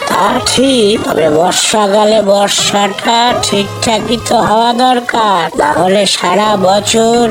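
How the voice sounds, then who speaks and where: A woman voicing a food-loving family father in a cartoon, homely and expressive. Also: medium pitch, slow pace, hardly any pauses, music in the background, close to an everyday voice, poor audio. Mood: cheerful, musing. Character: food-loving family father, cheerful but easily sulky. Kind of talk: cartoon dialogue.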